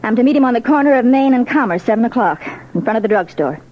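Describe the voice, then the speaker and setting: High-voiced policewoman in old radio drama, played by an actress. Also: expressive, fast, natural voice. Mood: businesslike. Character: brave policewoman acting as decoy, anxious but composed. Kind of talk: 1940s radio drama acting. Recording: dull, hissy old radio recording.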